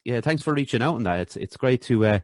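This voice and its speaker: Fast-talking podcast host. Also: male voice, medium pitch, expressive, darkish tone, no room sound, clean studio sound. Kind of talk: casual interview chat.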